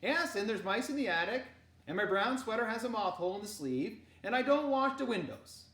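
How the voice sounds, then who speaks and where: A hearty, genial storybook fisherman uncle acted out by a woman, speaking up and booming. The pitch sits raised and lively, and the room echoes around it. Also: fairly quick. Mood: jovial teasing. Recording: roomy echo.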